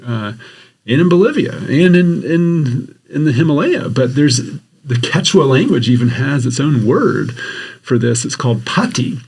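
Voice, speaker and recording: A man, a geography professor, talking in a podcast interview in an earnest, explanatory way, often pausing to rephrase. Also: mid-range voice, sing-song, a little mellow, breathy edge. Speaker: geography professor and expedition researcher.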